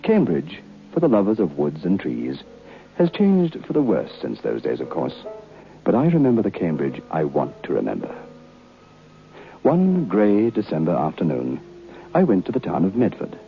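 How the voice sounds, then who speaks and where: On a dull old radio recording with faint music, a reflective gentleman tells his story in a deep, widely swooping voice. His manner is measured and literary, as in a radio drama. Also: huge pitch swings, creaky, heavily acted. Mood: wistful, foreboding. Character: reflective educated gentleman recalling a strange episode of youth. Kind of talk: old radio drama first-person narration.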